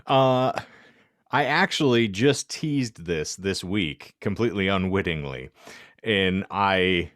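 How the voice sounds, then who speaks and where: A man's voice: low-pitched, expressive, slow, a bit bright, dry recording, studio quality. A man co-hosting a podcast, telling stories casually in a wordy, wry, self-deprecating way with ornate vocabulary. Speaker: podcast co-host.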